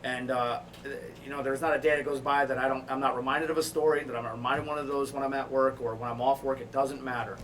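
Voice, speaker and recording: A man with a mid-pitched voice tells a personal story as a podcast guest. His delivery is candid, reflective and casual. He sounds a bit away from the microphone, with noticeable noise around him. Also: flat delivery, fast, a bit bright, far from the mic, rough recording. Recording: off-mic with clear background noise.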